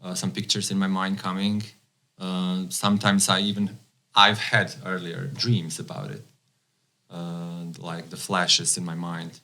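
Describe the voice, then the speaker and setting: A man in his thirties from Bosnia, a non-native English speaker whose first language is Croatian, talking haltingly as a podcast guest with many fillers. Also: low-pitched, flat delivery, pushed and bright. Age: 30s. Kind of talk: casual interview chat.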